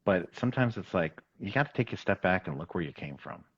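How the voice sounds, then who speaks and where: A man being interviewed in a casual, reflective chat, speaking in an earnest, halting, self-effacing way. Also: deep voice, animated, husky, muffled recording. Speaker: interview guest, talent agent turned show executive.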